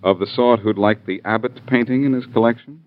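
A man's voice: mid-range voice, fairly even intonation, a little fast, natural voice. Actor as tinny old-radio tough-guy hero. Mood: bargaining, cocky. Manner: clipped, hard-boiled, confrontational. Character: tough, defiant male crime-drama hero, loyal and cocky. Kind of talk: 1940s radio crime drama acting. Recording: tinny 1940s radio recording, phone-like.

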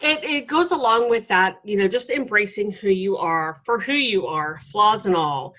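A middle-aged woman hosting an interview, talking in a relaxed, reflective way with lots of ums and you-knows. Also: mid-range voice, normal speed. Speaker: podcast host. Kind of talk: conversational interview. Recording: dull lo-fi audio.

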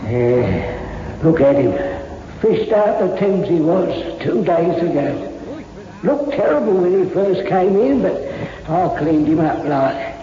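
An odd, chatty working-class morgue attendant talks in a high voice with colloquial turns. It is old radio drama, echoing in a hall and slightly dull. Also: male voice, big pitch swings, slow pace, a crowd in the background. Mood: eerie, familiar. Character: eccentric, lonely working-class London morgue attendant, war veteran. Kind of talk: 1960s radio drama acting.

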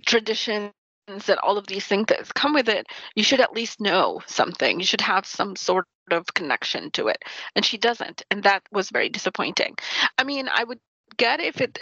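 A woman from New York with a standard New York accent speaks emphatically in casual chat, stopping to rephrase as she goes. Her voice is bright and forceful. The sound is dry and a little dull. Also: medium pitch, no echo.

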